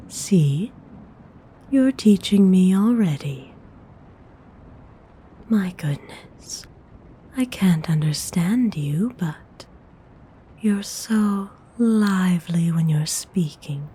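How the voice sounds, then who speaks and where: A woman plays a courteous vampire noblewoman for ASMR, tender yet hungry. Her voice is a very breathy, husky whisper, low and mellow. She speaks with hushed, old-fashioned politeness. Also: expressive, exaggerated voice. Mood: curious, soft, then warm, attentive. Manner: slow, hushed, tenderly formal.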